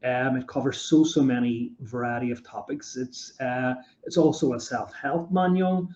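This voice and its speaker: A man hosts a podcast interview, his voice mellow and relaxed. He rambles with enthusiasm, piling up fillers as he builds toward his questions. The sound is dull and roomy, as if recorded in an echoey room. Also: mid-range voice, normal speed. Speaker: podcast host.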